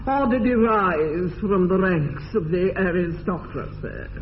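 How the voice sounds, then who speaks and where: An actress playing an imperious older English aristocrat in a radio comedy, with an upper-class English accent and pompous, deliberate enunciation. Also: female voice, fairly low pitch, lively intonation. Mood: pointed scrutiny. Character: haughty, domineering older aristocratic English lady. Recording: dull lo-fi radio recording, faint noise.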